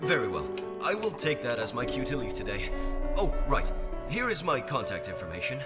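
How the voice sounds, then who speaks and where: A man's voice: medium pitch, big pitch swings, a little fast, close to an everyday voice. A man voicing a gushing, polite idol talent scout in an anime dub. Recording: music behind the voice.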